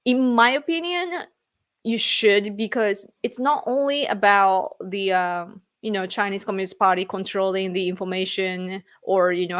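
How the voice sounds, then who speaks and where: A woman's voice: mid-range voice, fairly bright tone. A woman from Japan with a Japanese accent, casually chatting on a live stream and reading viewer comments as she rambles and laughs. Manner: rambling, reads chat aloud, laughs often. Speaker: livestream host.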